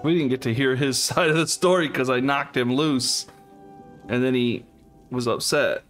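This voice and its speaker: A man's voice: mid-range voice, normal speed, fairly bright tone. A man casually commenting on his co-op game as he plays, musing aloud and laughing often. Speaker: let's play host. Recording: game music behind voice.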